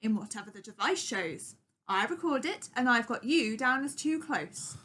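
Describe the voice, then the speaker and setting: A woman's voice: on the high side, lively intonation, moderate pace, fairly bright tone. A woman with a British accent playing a sceptical, officious traffic cop in a comic radio play. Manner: dry, questioning, sceptical.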